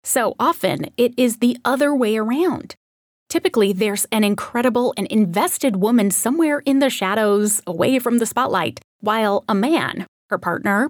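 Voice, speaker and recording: A woman's voice: a little high, no room sound, studio quality. A woman hosting an art history podcast, reading a scripted narration in a conversational way with wry asides. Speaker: podcast host.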